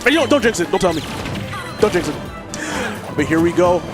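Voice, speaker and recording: A young man from Toronto doing energetic, joking live esports commentary. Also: sits high, fairly quick, music in the background. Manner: energetic, joking, hypes plays.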